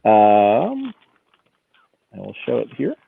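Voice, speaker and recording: Man, auction executive giving a casual live preview; thin phone-like sound, very dry, keen but halting. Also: lowish voice, huge pitch swings, gravelly, husky, dry recording. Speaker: auction house executive presenting lots.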